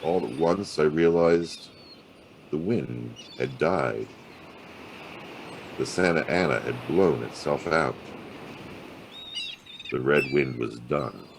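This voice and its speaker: An American actor playing a world-weary, hard-boiled private detective with a soft streak in a noir audio drama, gravelly and laconic. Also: male voice, a bass voice, lively intonation, takes it slowly, long pauses, slight background noise, full character voice. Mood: wry, weary. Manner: laconic, colloquial tough-guy delivery. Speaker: actor playing the lead and narrator. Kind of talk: noir audio drama dialogue and first-person narration.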